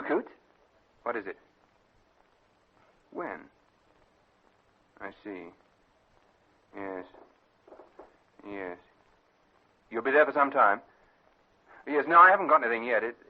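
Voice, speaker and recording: An actor playing a shrewd British private detective in a radio drama, measured, analytical and authoritative. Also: male voice, medium pitch, sing-song. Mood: businesslike. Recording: narrow-band vintage radio recording.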